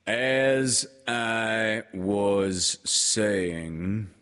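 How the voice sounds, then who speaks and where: A man's voice: sits low, expressive, very slow, heavily acted. A man doing a gloomy, pompous old donkey. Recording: long hall reverb.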